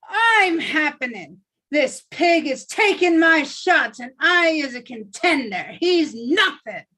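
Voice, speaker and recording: A woman's voice: high voice, sing-song, takes it slowly, bright, forceful tone, exaggerated voice. A woman reading the part of a cocky young male boxer who taunts in street slang, at a table read. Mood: taunting. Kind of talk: live online table read.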